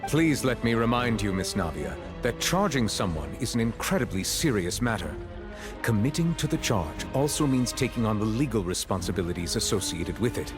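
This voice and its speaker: An actor playing a stern, formal judge in a video game, speaking in a measured, authoritative manner. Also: male voice, fairly low pitch, big pitch swings, over music. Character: stern, formal male judge presiding over a court. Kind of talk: scripted game dialogue.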